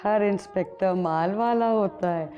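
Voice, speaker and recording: A woman's voice: medium pitch, a little slow, muffled recording, close to an everyday voice. An actress playing a worldly, self-assured woman of a courtesan house in a television period drama, knowing and matter-of-fact.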